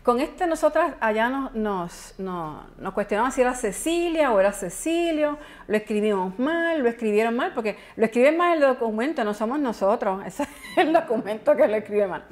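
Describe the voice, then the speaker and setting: A woman's voice: a little high, animated, normal speed. A woman from Puerto Rico with a Puerto Rican accent, presenting a genealogy talk at a conference in an informal, explanatory way with chatty asides. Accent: Puerto Rican Spanish. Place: conference hall.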